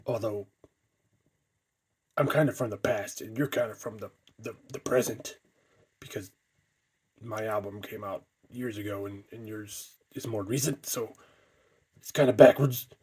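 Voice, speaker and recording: A man voicing a curious, teasing cyborg undead creature in an improvised toy skit, with halting restarts. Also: fairly low pitch, a little mellow, slightly rough, low-quality recording. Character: cyborg undead male mascot, curious and needling.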